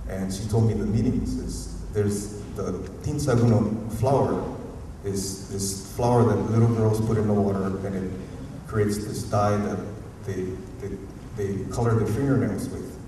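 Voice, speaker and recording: A university professor from Hawaii with a Hawaiian accent, giving a rambling, informal, unscripted talk at a community forum and often chuckling. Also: male voice, fairly low pitch, fairly even intonation, unhurried, few pauses, warm tone. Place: museum auditorium. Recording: echoey hall, distant mic, faint noise.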